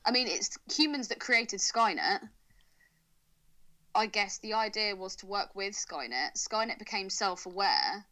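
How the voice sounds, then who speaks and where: A woman's voice: medium pitch, animated, a little slow, pushed and bright. A young woman with a British accent co-hosting a podcast, chatting informally about films in a teasing, slangy way. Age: young adult. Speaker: podcast co-host. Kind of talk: casual comic film chat.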